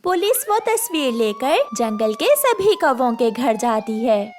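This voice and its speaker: A woman's voice: a little high, very animated, unhurried, a little mellow. A woman storyteller narrates a children's tale. She reads scripted lines, telling the events scene by scene.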